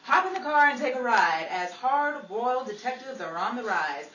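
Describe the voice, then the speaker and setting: A hard-boiled lady detective acted by a woman narrates a 1940s-style radio mystery with theatrical swagger and wisecracks. Her pitch is highish and swoops about, in an echoey, noisy room. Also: sing-song, moderate pace, a bit bright, muffled recording. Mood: dramatic narration. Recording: roomy, distant, noisy live room recording.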